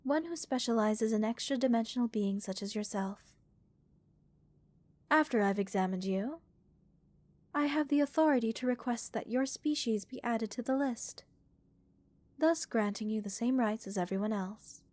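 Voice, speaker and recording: A woman playing a gentle, curious alien researcher in a soft-spoken ASMR roleplay, measured and reassuring, with clinical interest. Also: fairly high pitch, no echo, natural voice. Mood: calm, reassuring. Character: gentle, curious female alien researcher examining a captured human. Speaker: voice actor in audio roleplay.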